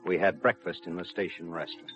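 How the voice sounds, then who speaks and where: An actor playing a world-weary, tough railroad detective in a 1950s radio drama. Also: male voice, low-pitched, steady tone, fast talker, a bit bright. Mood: terse, suspenseful. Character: world-weary, tough but reassuring railroad police detective, narrating his case. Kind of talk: 1950s radio drama acting, hard-boiled narration. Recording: muffled 1950s radio recording, music behind.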